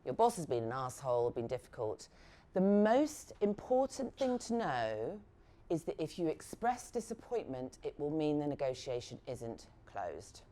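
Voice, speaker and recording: A woman in midlife leads a workshop on negotiation. Her voice sits low and mellow, and her pitch swings widely as she talks. She speaks frankly and acts out little exchanges to make her points. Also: moderate pace. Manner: lively, frank, acts out example dialogues. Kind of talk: live workshop talk to assistants.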